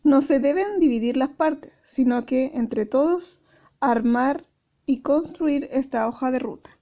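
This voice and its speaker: Lady teacher with a really high voice explaining class stuff step by step, kinda muffled lo-fi recording. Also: a little slow. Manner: didactic, careful explaining with rhetorical questions. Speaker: school teacher. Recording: dull lo-fi audio.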